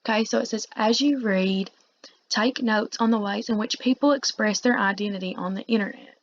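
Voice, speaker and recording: A woman teacher giving a read-along lecture, reading aloud and pausing to explain in informal asides. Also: medium pitch, slight background noise. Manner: explanatory, pausing to paraphrase the text. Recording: dull, muffled lo-fi recording.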